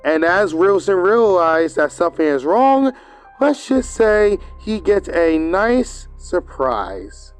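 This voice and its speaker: A man's voice: high voice, very animated, takes it slowly, faint music behind. A man giving a casual, rambling video review, recapping with hesitant fillers and restarts.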